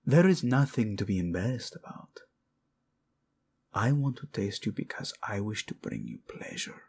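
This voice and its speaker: A man's voice: on the low side, wildly swooping pitch, normal speed, a little mellow, full character voice. A man playing a possessive, devoted prince in a romantic audio roleplay, murmuring formal words with Spanish endearments to his wife. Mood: seductive. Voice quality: husky, gravelly, breathy in places.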